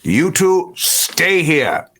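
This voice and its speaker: Man playing a coolly civil vampire interrogator, lively intonation. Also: a little high, drawn-out words, exaggerated voice. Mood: wry, pressing.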